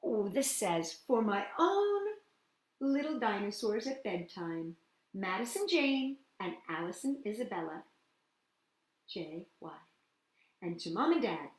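A woman's voice: mid-range voice, echoey room. A middle-aged woman hosting a children's storytime, chatting to young listeners conversationally and putting questions to them. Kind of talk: storytime chat to young children, with read-aloud and singalong.

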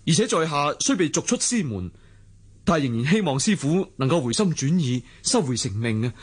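A man's voice: fairly high pitch, very animated, normal speed, slightly rough. An actor playing a humble, principled young swordsman in a Hong Kong Cantonese radio drama, speaking formally and deferentially. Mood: respectful, hesitant.

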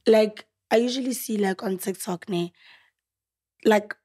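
A woman's voice: medium pitch, measured pace, a little mellow, slightly rough. A woman in her early twenties with a South African accent, co-hosting a podcast and asking chatty, playful questions on a studio mic. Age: 20s. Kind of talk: casual chat. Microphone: studio mic on boom arm.

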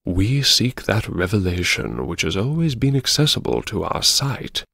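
An actor playing a genteel, troubled gentleman diarist, narrating an audiobook in a mannered, hesitant, politely formal and wryly confessional way. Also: fairly low pitch, very animated, normal speed, creaky, no echo, studio quality, heavily acted. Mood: solemn. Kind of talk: first-person dramatic audiobook narration.

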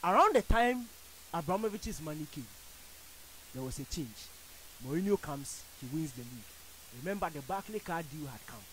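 A man with a Ghanaian accent makes his case on a radio sports debate show. His voice sits high and leaps around as he drives each point home with theatrical emphasis. A faint hiss sits under the studio mic. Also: expressive, normal speed, fairly bright tone, poor audio. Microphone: studio boom mic with headphones.

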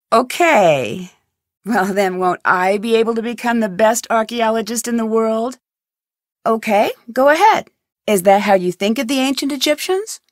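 A curious, blunt young female detective from a video game, asking sharp, probing questions. Her voice sits fairly high, and the recording is dry and close. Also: dry recording.

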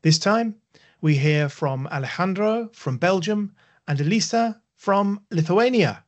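A man's voice: high voice, lively intonation, measured pace, muffled recording. A man hosting a webinar, formally thanking and introducing speakers from a home office. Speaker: webinar host. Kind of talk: scripted webinar links.

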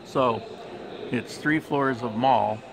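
A man talking through a casual walking travel vlog in a shopping mall, relaxed and chatty with plenty of fillers. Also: medium pitch, slow pace, gravelly, crowd noise. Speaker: travel vlogger. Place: outdoor mall terrace and shopping center. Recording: handheld camera with street and mall noise.

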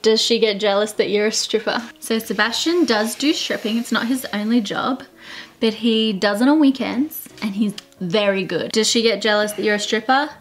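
Frank, joking Australian woman in her twenties. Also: medium pitch. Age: 20s. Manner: frank, laughing, quick and joking. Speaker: beauty vlogger answering viewer questions. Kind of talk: casual chat. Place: small indoor room in front of a plain wall.

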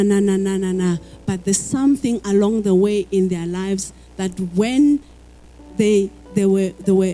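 A woman's voice: mid-range voice, mellow. A middle-aged woman preaching a sermon into a handheld mic on a church hall stage, earnest and repeating words as she searches for phrasing.

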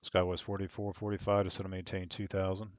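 A young man at a home desk on a headset, calmly giving controller radio calls and explaining his plans in a low-key way. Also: fast talker, highs cut off, noisy background. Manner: calm, low-key, thinking aloud with fillers. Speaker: online air traffic controller streamer.

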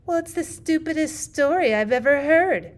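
A woman's voice: sits high, lively intonation, moderate pace, voice pushed up. A woman reading a storybook part as a bossy, outspoken small girl who speaks with demanding conviction.

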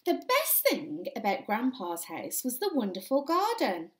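A young woman reads a picture book aloud to small children. Her delivery is animated and deliberate, with a very lively pitch. The sound is roomy, like a small echoey room. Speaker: primary school story time reader. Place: small indoor room with bare walls.

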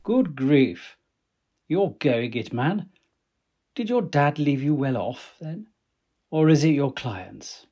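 An actor playing a jovial forty-year-old Victorian painter, chummy and full of old-fashioned upper-class slang, in a period audio drama. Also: male voice, a little high, very animated, slow pace, pauses often, warm tone, breathy edge, exaggerated voice. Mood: amused surprise. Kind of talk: period radio drama acting.

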